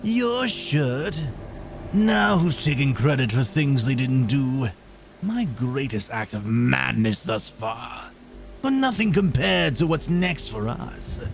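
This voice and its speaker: Gravelly, smug clown villain. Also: male voice, medium pitch, expressive, faint music behind. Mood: smug, mocking. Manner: mocking, theatrical, drawn-out. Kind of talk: dramatic comic dub acting.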